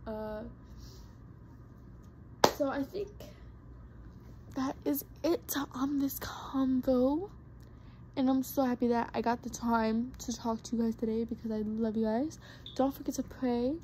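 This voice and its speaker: A woman's voice: on the high side, steady tone, unhurried, long pauses, background noise. A young woman vlogger chatting casually to her viewers, rambling with lots of fillers and restarts. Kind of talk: casual talk to camera.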